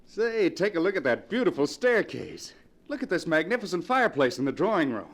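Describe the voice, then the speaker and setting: An actor playing an eager, affectionate young husband in a 1940s radio drama, with a theatrical delivery. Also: male voice, a little high, very animated, a little fast. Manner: enthusiastic, tender, theatrical.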